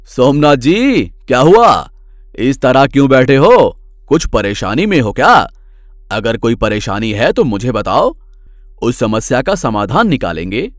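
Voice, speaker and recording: A man playing a stern but fair employer who moralizes in a measured, admonishing way in a cartoon story. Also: medium pitch, big pitch swings, no room sound, studio quality. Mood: concerned.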